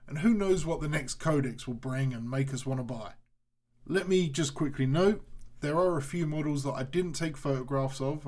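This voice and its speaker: A man narrating a hobby showcase video in a casual, frank and blunt way. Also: medium pitch. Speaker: hobby channel host.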